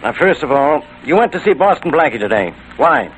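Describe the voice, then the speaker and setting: Grumpy blustery cop, voice pitched up and jumping around, exasperated, old radio show. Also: male voice, big pitch swings, a little fast, a bit bright. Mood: official. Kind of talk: 1940s radio acting. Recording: noisy old-time radio recording.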